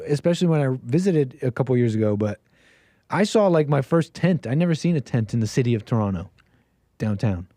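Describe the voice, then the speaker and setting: A man's voice: mid-range voice, animated, a little fast, dark tone, no room sound. A man hosting a call-in show, talking casually and animatedly, often laughing. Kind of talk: casual live-stream talk.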